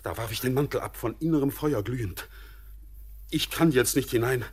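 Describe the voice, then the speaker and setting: An actor playing a feverish young miner in a German radio drama, desperate and impassioned in theatrical, verse-like declamation. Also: male voice, medium pitch, moderate pace, slightly rough, close to an everyday voice. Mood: feverish, agitated.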